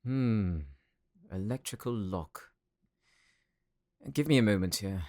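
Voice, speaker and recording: A man's voice: lowish voice, slow pace, very noisy. A man voicing an earnest, homesick tech worker from a space colony in an audio roleplay, explaining things in a sometimes faltering way. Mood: matter-of-fact. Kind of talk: anime-style audio roleplay acting.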